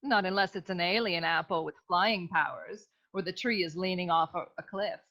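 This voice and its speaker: A woman's voice: lowish voice, normal speed, pushed and bright. A woman playing a distressed, earnest dame pleading with a detective in a comic noir radio serial. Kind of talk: parody noir radio drama acting. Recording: dull, muffled lo-fi audio.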